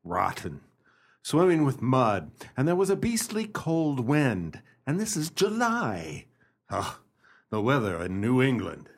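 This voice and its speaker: Lively, loyal gentleman's companion. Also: medium pitch, slow, breathy edge, heavily acted. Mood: grumbling. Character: loyal, practical gentleman's companion, steady and reassuring. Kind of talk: radio play acting.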